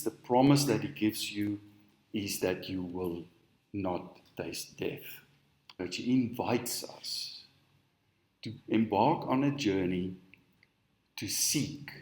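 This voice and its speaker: Low-voiced man, Afrikaans speaker, slow emphatic sermon, echoey room. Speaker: spiritual teacher. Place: on stage in a hall.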